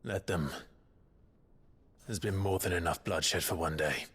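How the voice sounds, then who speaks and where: An actor playing a grave, resolute outlaw in a video game, speaking in a measured, solemn way. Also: male voice, low voice, fairly bright tone, breathy edge, exaggerated voice. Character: grave, resolute outlaw man carrying a heavy burden. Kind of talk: dramatic video game acting.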